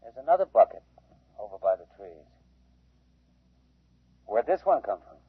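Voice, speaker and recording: A man acting as a gruff field survey captain in a 1950s radio drama, heard through a played-back radio transmission. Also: lowish voice, big pitch swings, moderate pace, no room sound, heavily acted. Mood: casual, puzzled. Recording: thin, phone-like radio transmission, 1950s recording.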